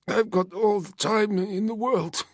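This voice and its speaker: A man voicing a dying wounded male soldier who talks slowly with weak, strained gallows humor. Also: high-pitched, fairly even intonation, no echo, clean studio sound, voice pushed up. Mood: wry, strained. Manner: slow, grinning gallows humor.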